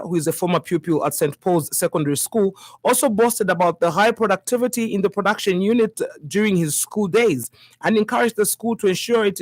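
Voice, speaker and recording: A woman's voice: fairly low pitch, no room sound. Zambian woman reading radio news, dry sound. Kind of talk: scripted news bulletin reading.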